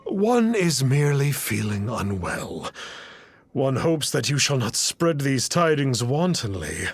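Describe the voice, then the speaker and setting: An actor playing a boastful fraud of an exorcist in a video game, speaking in pompous, affected archaic phrases. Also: male voice, medium pitch, very animated, slow, a little hiss or hum. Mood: evasive, uneasy. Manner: pompous, affected archaic speech, calls himself "one". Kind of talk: theatrical video game acting. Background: music.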